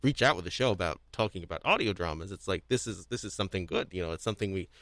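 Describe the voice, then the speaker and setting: A man with a fairly low voice hosts a casual podcast chat. He circles back over words and restarts his phrases, and the sound is close and dry. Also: expressive, a bit bright, no room sound. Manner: halting, repeats words and restarts often. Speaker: podcast host. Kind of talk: casual panel discussion.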